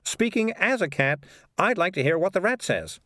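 A man's voice: sits high, sing-song, moderate pace, pushed and bright, dry recording, studio quality, voice pushed up, exaggerated voice. A man voicing a clever, sardonic male talking cat in a storybook reading. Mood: wry. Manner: wry and chatty.

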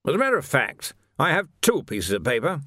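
A man as a pompous, high-pitched blustering captain. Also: wildly swooping pitch, a little fast, pushed and bright, no room sound, clean studio sound, exaggerated voice. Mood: officious. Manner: blustering, punctuates remarks with "what?". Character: pompous, skinny, moustached police captain, blustering and triumphant.

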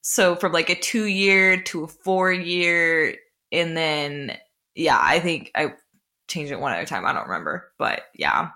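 A woman's voice: low voice, expressive, unhurried, pushed and bright. A woman in her twenties with an American accent, chatting casually and telling informal stories as a podcast co-host. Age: 20s. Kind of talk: casual chat.

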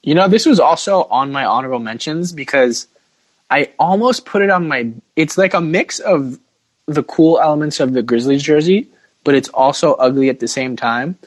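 A man's voice: fairly high pitch, moderate pace, no room sound, poor audio. A man hosting a casual sports podcast, giving opinions in a mock-dramatic, self-deprecating way. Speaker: podcast host.

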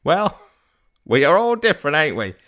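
A man's voice: on the high side, sing-song, moderate pace, a bit bright, pitched above the performer's own voice. A man voicing a jovial, chatty old street fruit vendor with folksy, exclamatory patter, in an audiobook. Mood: amazed, kindly. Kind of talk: audiobook character voice.

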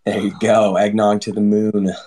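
Male host, lowish voice, friendly joking banter, filler-heavy. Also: little pitch movement, normal speed, mellow. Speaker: livestream host. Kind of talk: casual show opening.

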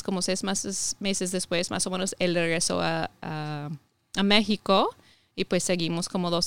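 Guest, woman from Ohio, 30s, non-native speaker, halting and casual, very clear and dry. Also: medium pitch, a bit bright, dry recording. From United States (Ohio). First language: English. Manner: hesitant, many fillers and restarts. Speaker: podcast guest. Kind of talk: casual interview conversation.

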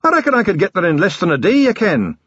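A man's voice: high voice, wildly swooping pitch, fast talker, a bit bright, husky, no room sound, lo-fi sound, pitched above the performer's own voice, exaggerated voice. A man voicing a tiny, cocky male fantasy watchman with a Scottish accent, from an audiobook. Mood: boastful, confident.

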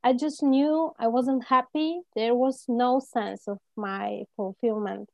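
A woman with a Bulgarian accent answering interview questions haltingly, in non-native English phrasing. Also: on the high side, gravelly, a little mellow. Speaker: interview guest, life coach. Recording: muffled, echoey room sound.

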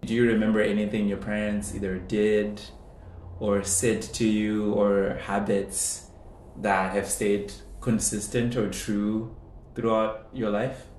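A man's voice: fairly low pitch, level tone, slow, darkish tone, roomy sound, a little hiss or hum. A man hosting a podcast, asking questions and often repeating words as he starts them. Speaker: podcast host. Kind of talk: interviewing and scripted intro.

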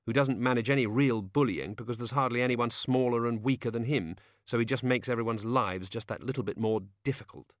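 A man voicing a brisk, exasperated young woman in a story, crisp and dryly sarcastic. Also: medium pitch, no room sound, studio quality, lightly acted.

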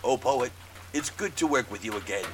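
A man's voice: medium pitch, moderate pace, far from the mic, a little hiss or hum, natural voice, poor audio. An actor playing an exasperated, well-connected man hiring a detective in a 1930s noir audio drama. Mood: friendly. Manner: animated, impatient, insistent.

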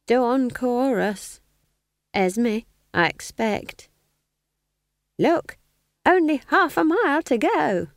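A woman voicing a sly, cheerful elderly witch who answers with feigned innocence and a drawn-out, teasing delivery. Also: high voice, lively intonation, no room sound, studio quality, voice pushed up, heavily acted.

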